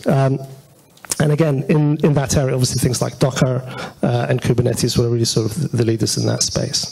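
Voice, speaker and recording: Guy doing a casual tech talk at a meetup, mid pitch, lots of gravelly fry, kinda halting and chatty. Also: little pitch movement, warm tone, low-quality recording. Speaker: conference speaker. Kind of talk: informal technical presentation. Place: auditorium stage at a meetup.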